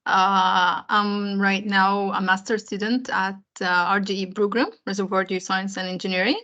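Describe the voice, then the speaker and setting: Bright, even woman from Egypt. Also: medium pitch, normal speed, muffled recording. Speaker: student panelist.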